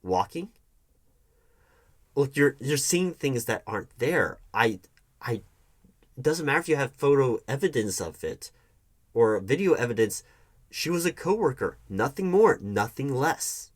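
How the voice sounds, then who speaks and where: Male performer as evasive manager quarrelling with his ex, audio roleplay. Medium pitch, very animated intonation, argumentative, halting delivery with stammered repeats. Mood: insistent, defensive. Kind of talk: one-sided audio roleplay acting.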